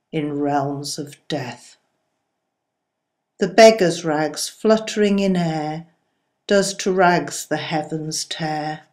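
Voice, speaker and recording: A woman reciting poetry in a solemn style, with measured, deliberate phrasing. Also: fairly low pitch, darkish tone. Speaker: poetry reader.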